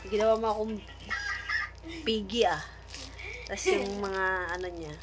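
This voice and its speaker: A woman's voice: medium pitch, steady tone, a little slow, over loud music. A Filipino woman chatting casually in an unboxing vlog, thinking aloud and mixing in English words. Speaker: vlogger.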